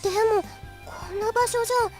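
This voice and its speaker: A woman voice acting an earnest, sweet anime schoolgirl in a Japanese visual novel, with expressive, animated delivery. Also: very high-pitched, fast, music in the background. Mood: worried.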